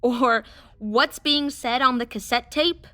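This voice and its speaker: A woman's voice: high voice, slow pace, pushed and bright, no echo, full character voice. A woman playing an earnest, curious young girl who sketches, in an audio drama. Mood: insistent. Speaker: voice actor.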